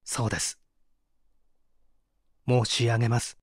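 An actor playing a poor, contrite painter making an earnest, theatrical confession in a dramatic audio mystery. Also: male voice, mid-range voice, little pitch movement, unhurried, slightly rough, no room sound, clean studio sound. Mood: subdued. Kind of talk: dramatic Japanese audio reading of a mystery story.

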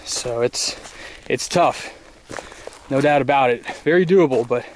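A man in his thirties filming his own hike, talking casually and excitedly to the camera on an open mountaintop. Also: mid-range voice, unhurried. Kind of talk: casual vlog narration. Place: windy open mountaintop outdoors. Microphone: action camera on a pole. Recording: loud wind noise on camera mic. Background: loud wind across the mic.

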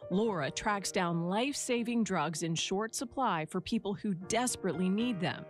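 Female American reporter, mid-pitched, scripted human-interest narration over faint music. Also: normal speed. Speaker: news reporter. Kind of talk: scripted news feature narration. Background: music under narration.

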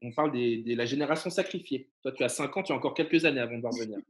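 A man's voice: medium pitch, very fast. A man hosting an informal debate show over video call, with a casual, teasing manner. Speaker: talk show host. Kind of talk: informal televised debate moderation.

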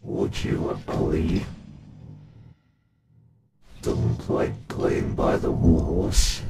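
A man voicing a menacing, sadistic animatronic rabbit monster with a growling rasp, taunting in drawn-out horror-game villain lines. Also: very deep voice, heavily acted. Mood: gloating menace. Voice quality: gravelly, growling, breathy rasp. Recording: music under the voice.

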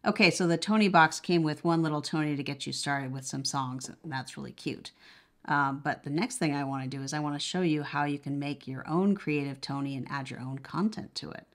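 A woman's voice: low-pitched, a little fast, a bit bright. A woman reviewing a product casually, explaining step by step in a conversational way. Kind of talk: casual unboxing and demonstration.

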